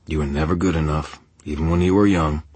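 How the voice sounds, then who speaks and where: A man playing a gruff gang boss in an audiobook, with a growling sandpaper rasp and a measured, condescending manner. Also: extremely low pitch, no echo. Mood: weary menace. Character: gruff male gang boss, calm, weary, menacing.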